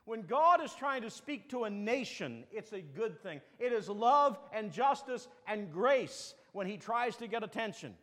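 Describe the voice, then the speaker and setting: A man's voice: sits high, big pitch swings, unhurried, hardly any pauses, fairly bright tone. A male pastor preaching a sermon, telling folksy stories and jokes with rambling asides. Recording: long reverb of a large hall.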